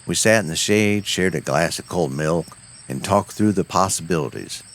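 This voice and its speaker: A man's voice: low-pitched, normal speed, a bit bright. A man narrating a cozy audio story as host, with a folksy cowboy drawl and country sayings.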